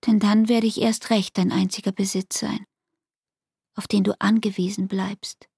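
An actress playing an anguished, devoted young barmaid in an intimate radio play, with speech and a breathy, half-whispered, intense delivery. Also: female voice, mid-range voice, level tone, normal speed, warm tone, dry recording, clean studio sound. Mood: bitter, intense.

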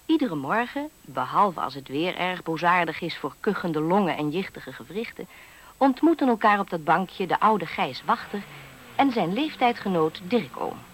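An actress playing the storyteller of a whimsical fairy-tale radio play, in scripted narration. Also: female voice, on the low side, very animated, normal speed, low-quality recording. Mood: storytelling.

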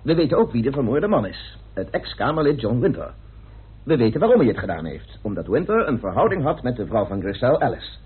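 A man's voice: mid-range voice, big pitch swings, a little fast, breathy edge. An actor playing an assertive, impatient male investigator in a Dutch radio play. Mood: brisk, probing. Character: Mr Bly, assertive, impatient investigator presenting a murder case. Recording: muffled, band-limited old radio recording.

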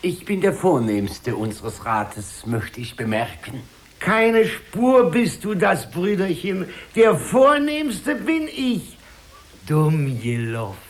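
Slow, haughty vain councillor. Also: male voice, high-pitched, very animated, heavily acted. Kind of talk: children's radio play acting.

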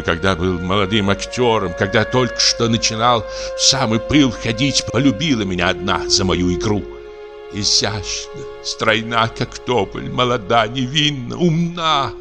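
A man's voice: mid-range voice, pitched above the performer's own voice, close to an everyday voice. A man voicing a drunken, lonely comic actor of fifty-eight, frightened and maudlin, lamenting in a feverish, breathless, theatrical way. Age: 50s. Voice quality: breathless, trembling. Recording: music under the voice. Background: music.

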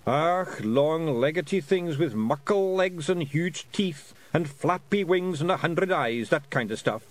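A man voicing a simple, excitable little pixie with a Scottish accent, in a storybook reading. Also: a little high, unhurried, pitched above the performer's own voice. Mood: alarmed. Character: daft tiny male pixie, excitable and cheerfully simple.

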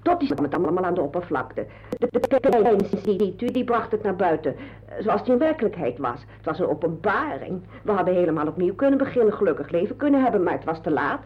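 An actress playing a sociable older widow in a radio drama, garrulous and confiding. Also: female voice, lowish voice, big pitch swings, fast talker, a little hiss or hum. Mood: confiding, animated. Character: chatty, sociable widow devoted to her poodle. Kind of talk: radio drama acting, conversational. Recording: dull lo-fi radio play recording.

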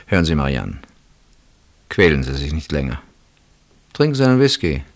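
An actor in a German radio play, playing a controlled, deliberate and dismissive husband who gives little away. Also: male voice, extremely low pitch, sing-song, full character voice. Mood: placating. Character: cool, evasive husband of a missing woman.

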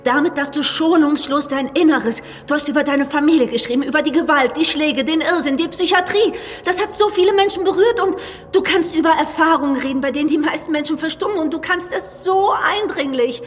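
A woman's voice: sits high, fairly quick, close to an everyday voice. An actress playing an anxious woman who pleads urgently for a friend in a radio play, insistent and emotional. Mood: insistent, moved. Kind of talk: German radio play dialogue. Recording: long reverberant hall, music behind.

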